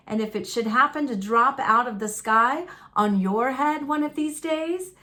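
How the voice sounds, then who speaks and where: Female storyteller for a picture book read-aloud. Bright, forward tone, unhurried, folksy and expressive. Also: fairly high pitch. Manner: folksy, dramatic, deliberate delivery.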